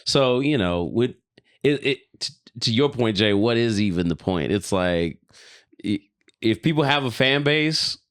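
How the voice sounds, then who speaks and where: A man's voice: medium pitch, animated, unhurried, clean tone. A man co-hosting a podcast, chatting casually and giving his opinions in slangy talk peppered with swearing. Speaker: podcast co-host.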